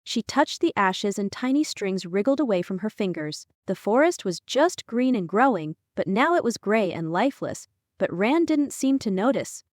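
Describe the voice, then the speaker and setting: A woman narrating a fantasy audiobook in a scripted, expressive storytelling style. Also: medium pitch, moderate pace, dry recording, studio quality.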